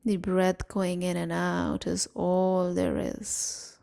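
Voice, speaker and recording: Very slow, mellow female meditation guide. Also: fairly low pitch, completely flat delivery, gravelly. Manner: soothing, gentle, unhurried with long pauses. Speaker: meditation guide and series host.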